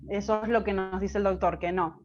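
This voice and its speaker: Soft-spoken Argentine woman, hesitant. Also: medium pitch, fairly even intonation, fairly quick, dull audio. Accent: Argentine Spanish. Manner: hesitant, many fillers and restarts. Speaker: interview guest. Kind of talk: personal testimony in conversation.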